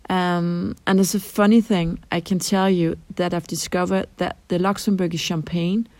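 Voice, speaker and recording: A middle-aged Danish woman with a Danish accent, answering interview questions in a measured way with frequent ums and restarts. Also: on the low side, level tone, a little mellow, dry recording. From Denmark. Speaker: translator interviewee.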